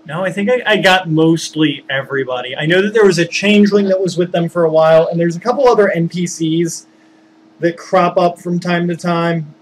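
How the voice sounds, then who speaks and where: A man telling a story casually, rambling and halting as he searches for words and digresses. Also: high-pitched, slight background noise, rough recording. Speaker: storyteller, former tabletop game master.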